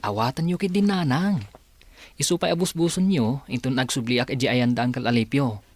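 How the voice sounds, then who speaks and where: A man's voice: mid-range voice, fairly quick, no room sound, close to an everyday voice. A man playing an earnest, respectful young adult son in an Ilocano radio drama, with an Ilocano accent. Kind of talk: radio comedy-drama acting.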